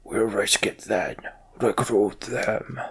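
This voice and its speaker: A man voicing a stern, decisive giant warrior leader in a fantasy game, with slow, weighty, commanding speech. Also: fairly low pitch, husky, exaggerated voice. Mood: measured.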